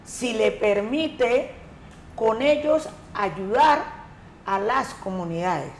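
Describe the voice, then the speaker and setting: A woman from the Colombian Pacific coast, an education coordinator answering interview questions in a measured, emphatic way. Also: a little high, lively intonation, a little hiss or hum. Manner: measured, emphatic, repeats key words. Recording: echoey room, faint ambience.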